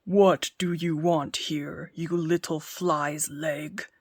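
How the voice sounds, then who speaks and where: A woman voicing a huge, dim-witted male giant who growls slowly and loudly. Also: fairly even intonation, breathy edge, no room sound, clean studio sound, heavily acted. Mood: gruff. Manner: slow booming growl.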